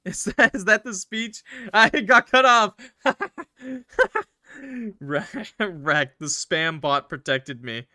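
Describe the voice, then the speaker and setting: A man's voice: high-pitched, slow pace, pushed and bright, no echo, clean studio sound. A man giving casual, slangy gaming commentary, reacting in exclamations and talking to his chat. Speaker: streamer playing a visual novel.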